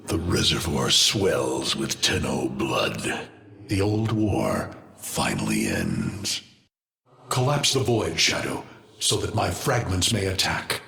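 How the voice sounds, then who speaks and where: An actor playing an ancient, vengeful alien destroyer of worlds in a video game, a betrayed father who speaks in slow, solemn, menacing and grandiose pronouncements. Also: deep voice, gravelly, bright, forceful tone, exaggerated voice. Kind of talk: dramatic video game villain monologue. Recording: long cavernous reverb.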